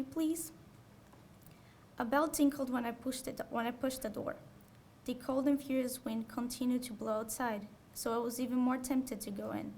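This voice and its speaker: Young woman, Portuguese native speaker, somewhat high voice, faint room hum, podium mic. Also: gravelly, a little mellow. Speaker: student writer reading her story. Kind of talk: prose reading. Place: small lecture room with audience. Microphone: podium gooseneck mic.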